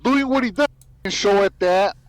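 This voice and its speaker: A man's voice: sits high, moderate pace, low-quality recording. A man making online videos, talking casually to viewers in slang with Spanish words mixed in. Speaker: YouTube content creator.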